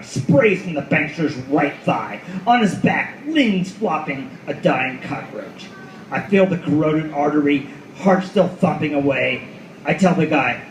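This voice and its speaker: A man playing a cynical, world-weary detective who narrates his own noir radio play with profane, sardonic asides. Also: fairly high pitch. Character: hardboiled cynical male detective at the world's end. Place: live reading in a roomy venue. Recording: roomy live recording with faint noise.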